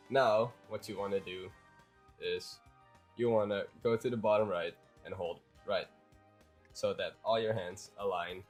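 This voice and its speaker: A young man with a Dutch accent, a bit sick, talking through a game tutorial in a rambling, hesitant way. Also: lowish voice. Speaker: YouTuber.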